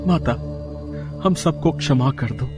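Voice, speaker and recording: Kind-hearted father in a devotional tale, played by a man: gentle, humble, mid-pitched, very animated melody. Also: takes it slowly, faint music behind. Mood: apologetic, reverent. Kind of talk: devotional storybook acting.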